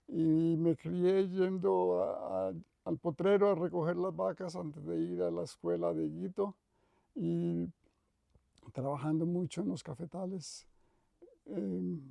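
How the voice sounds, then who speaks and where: An older man with a Costa Rican accent speaks in a measured, reflective way, stopping now and then to correct himself. His voice sits fairly high but is heavily gravelly and mellow. The recording is close and dry. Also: dry recording. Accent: Costa Rican Spanish. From Costa Rica. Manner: thoughtful, measured, with frequent restarts and self-corrections. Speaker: retired professor interviewed. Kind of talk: reflective interview reminiscence.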